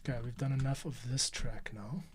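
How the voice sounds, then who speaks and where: A man's voice: medium pitch, fairly even intonation, fairly quick. A man hosting a podcast, talking casually to himself while he edits audio, now and then dropping to a whisper. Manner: chatty, talks to himself while working, drops into whispers. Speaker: podcast host and editor. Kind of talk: casual behind-the-scenes commentary while editing. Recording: music playing behind voice. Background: music playing and keyboard typing.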